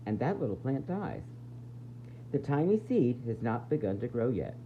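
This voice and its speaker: A man reading a picture book aloud as a preschool teacher, expressive and explaining things directly to young children. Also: mid-range voice, moderate pace. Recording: tinny phone-like audio with faint noise.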